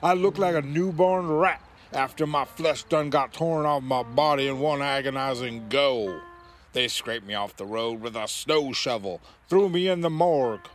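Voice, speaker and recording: A man's voice: mid-range voice, moderate pace, close to an everyday voice. A man playing a vengeful skinless freak-show brother in a comedic audio drama, telling grisly tales in a folksy, taunting, theatrical way. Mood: bitter, grisly. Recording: music under the voice.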